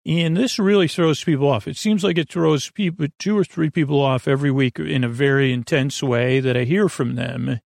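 Male host for a bedtime podcast. Creaky, fry-heavy voice, rambling and hesitant, lulling delivery, very dry recording. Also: a little high, moderate pace, hardly any pauses, darkish tone, dry recording. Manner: meandering, hesitant, trailing off into tangents. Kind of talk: rambling sleep podcast intro, soothing monologue.

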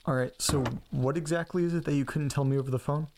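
A man's voice: a little high, steady tone, fast, noisy background, lightly acted. Man acting awkward, goofy everyday guy. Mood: goofy, pleased. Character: awkward, eager, goofy man with odd enthusiasms. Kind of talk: naturalistic audio drama acting.

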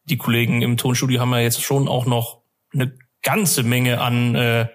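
A man's voice: mid-range voice, little pitch movement, normal speed. A man hosting a fan podcast, chatting casually and thinking aloud in a colloquial, hesitant way.

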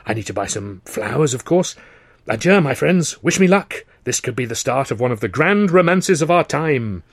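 A man voicing an excitable, romantic young music hall comedian in an audiobook, with exuberant theatrical delivery. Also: mid-range voice, normal speed, husky, studio quality. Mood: dreamy, excited.

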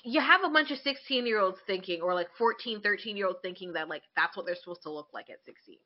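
Woman, mid-20s, podcast co-host. Blunt, emphatic, casual delivery at a middle pitch, slightly dull recording. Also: lively intonation, fast. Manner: blunt, frequent swearing, emphatic. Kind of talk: casual opinionated chat.

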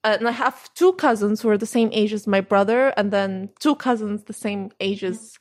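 A woman's voice: mid-range voice, moderate pace. Young adult woman, from Syria, hesitant, restarts often, casual interview answers. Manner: hesitant, many fillers and restarts. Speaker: interview guest.

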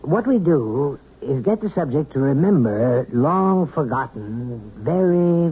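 An actor playing a calm, polite hypnotherapist in a 1970s radio drama, explaining things in a measured, deliberate way. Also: male voice, medium pitch, husky. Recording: tinny, band-limited old radio broadcast.

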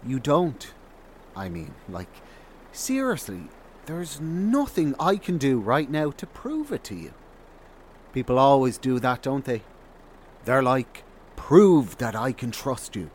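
A man's voice: medium pitch, very animated, slow pace. A man playing a shrewd roadside wanderer in an audio drama, with a knowing, sardonic drawl. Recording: faint car engine noise.

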